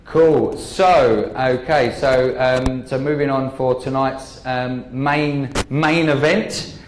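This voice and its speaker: A man's voice: mid-range voice, steady tone, slow pace, noisy background. A man hosting a community meetup, introducing speakers in a hesitant way full of ums and so-yeahs. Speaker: meetup host. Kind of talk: casual event hosting. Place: meetup venue with audience. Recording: echoey room with crowd.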